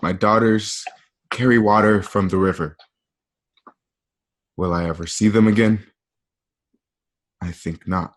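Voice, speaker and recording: Man acting as brooding Roman man, slow delivery, lowish voice, weighted short phrases, radio drama. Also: fairly even intonation, natural voice. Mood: wistful. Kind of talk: dramatic script reading.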